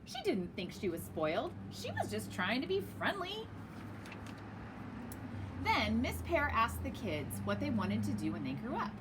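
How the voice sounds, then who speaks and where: Very animated woman reading a children's story. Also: mid-range voice, background noise. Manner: very expressive, emphatic. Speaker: storyteller reading a picture book. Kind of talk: animated read-aloud narration for children.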